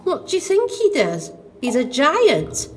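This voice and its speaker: Woman acting female goose captive, indignant, theatrical pantomime, unhurried, long echo. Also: high voice, wildly swooping pitch, exaggerated voice. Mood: matter-of-fact. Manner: theatrical, exclamatory. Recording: long echoing dungeon reverb.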